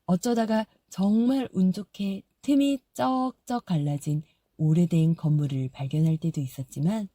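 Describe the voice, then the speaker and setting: A woman reads a fairy tale in a soft, gentle storytelling voice. Her tone is very mellow and a bit husky, and it sits slightly low. The recording is close and dry. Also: moderate pace, hardly any pauses, no room sound. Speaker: audiobook narrator. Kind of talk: scripted storybook narration.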